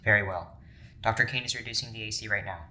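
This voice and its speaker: An actor playing a stiff, formal scientist in an audio drama, speaking in precise, clipped phrases. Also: male voice, fairly low pitch, steady tone, fast, pushed and bright, a little hiss or hum. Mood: formal concern. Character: stiff, formal scientist doctor running experiments.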